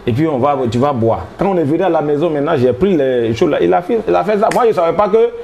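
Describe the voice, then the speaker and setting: A man's voice: on the high side, lively intonation, fast talker, creaky, a little mellow. Rambling Ivorian healer man. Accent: Ivorian French. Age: 30s. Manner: rambling, hesitant, laughing often. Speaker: traditional healer. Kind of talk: casual interview.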